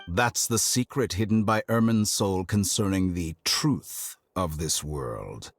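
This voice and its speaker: A man voicing a sly, arrogant scholar villain in a video game, smug and theatrically measured. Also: low voice, soft background music. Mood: sly, triumphant.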